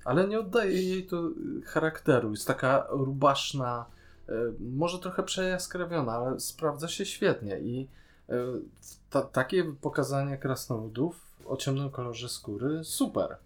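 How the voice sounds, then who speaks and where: A man co-hosting a podcast, giving a casual, enthusiastic series review with hesitant pauses. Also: medium pitch, lively intonation. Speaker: podcast co-host.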